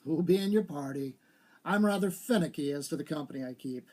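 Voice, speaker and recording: Storybook gentleman played by a male reader: middle-aged, finicky, grumbling, dry and guarded. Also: a little high, brisk, natural voice.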